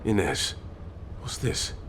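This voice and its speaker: An actor playing a serious, inquisitive squad member in a science fiction video game. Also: male voice, slow pace, a bit bright, slight background noise. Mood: regretful, curious. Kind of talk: video game voice acting, team dialogue.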